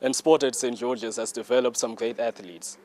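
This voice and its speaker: A man's voice: mid-range voice, fairly even intonation, normal speed, a little mellow. A man presenting scripted news links for a newsreel.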